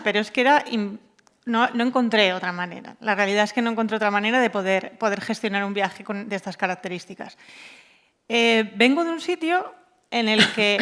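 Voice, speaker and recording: Higher-voiced woman. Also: a bit bright. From Asturias, Spain. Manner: colloquial, personal anecdotes, emphatic repetition. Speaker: panel speaker. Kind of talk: informal round-table talk.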